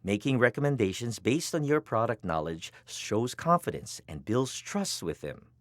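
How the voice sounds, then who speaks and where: A male narrator reads a scripted instructional piece in a mid-pitched voice. His phrasing is deliberate and emphatic, with plenty of rise and fall. The sound is dry, with very little room on it. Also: big pitch swings, no room sound. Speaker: training film narrator.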